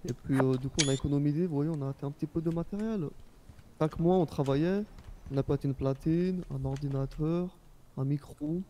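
A man's voice: on the high side, brisk, warm tone, slight background noise, natural voice. A man playing a young, easygoing Japanese-born rap label boss in a game, talking in informal, rambling slang. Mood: casual, proud. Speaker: role-player. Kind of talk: casual in-character game chat.

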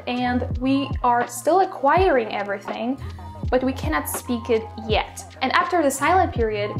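A woman in her twenties from Poland, a native Polish speaker, teaching a language lesson straight to camera in an upbeat, explanatory way. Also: a little high. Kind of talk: direct-to-camera video lesson. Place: small bedroom, indoors.

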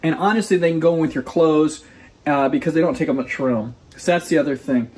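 A man's voice: fairly high pitch, a little fast, a little mellow. A man in his forties hosting a casual how-to vlog in his home kitchen, filmed on a phone held at arm's length, chatty and explanatory, often checking in with "okay?". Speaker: channel host. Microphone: phone camera held at arm's length.

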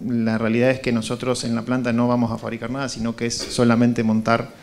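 A middle-aged Argentine man speaks as a founder presenting at a conference. His voice is mid-pitched with a strong gravelly creak, and he feels his way through phrases, repeating words. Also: completely flat delivery, fast talker, a little mellow. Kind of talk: conference pitch and answers to questions. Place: indoor conference room with small seated audience. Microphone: handheld microphone.

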